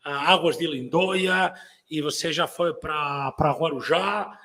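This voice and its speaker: A man's voice: a little high, takes it slowly, bright, forceful tone. A middle-aged man whose first language is not Portuguese, with a Brazilian-learned accent, presenting a travel club to his viewers in rambling casual talk that repeats and restarts words and keeps adding "né?". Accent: non-native Portuguese, Brazilian-learned.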